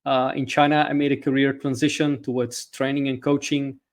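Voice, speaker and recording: A man's voice: medium pitch, little pitch movement, normal speed. A middle-aged man from Belgium, explaining his ideas as a podcast guest and coach. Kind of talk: explanatory interview talk.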